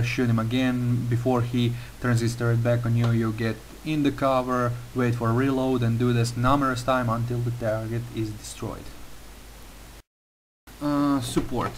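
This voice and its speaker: A young man with a Croatian accent narrates a game tutorial at a mid-range pitch, working through his sentences with frequent pauses and filler sounds. A faint hiss sits under his voice. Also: fairly even intonation, poor audio. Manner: careful non-native phrasing with frequent uh fillers. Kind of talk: gameplay tutorial voice-over.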